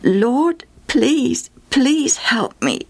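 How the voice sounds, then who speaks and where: A woman's voice: medium pitch, expressive, measured pace, dry recording, voice pushed up. A woman playing a desperate, eager mother who pleads insistently for her daughter in a children's Bible story. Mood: pleading.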